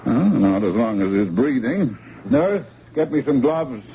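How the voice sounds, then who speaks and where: An actor playing a brisk, wry doctor barking orders in an emergency room in an old radio drama. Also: male voice, medium pitch, sing-song, warm tone. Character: brisk, cocky male hospital doctor. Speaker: surgeon. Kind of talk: 1950s radio drama acting. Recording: muffled old radio recording with hiss.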